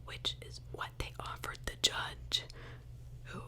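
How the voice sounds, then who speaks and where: A woman telling true crime as ASMR in a breathy, unvoiced whisper, conversational and opinionated, with rhetorical questions. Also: takes it slowly, pushed and bright.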